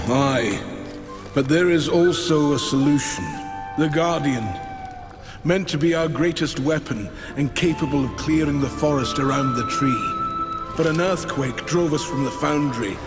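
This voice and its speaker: Old craftsman elder played by a man, slow and solemn, reverberant space, game music behind. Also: medium pitch, close to an everyday voice. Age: older. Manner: slow, solemn, measured speech. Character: old male Maker, weary and wise craftsman. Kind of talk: video game cutscene acting.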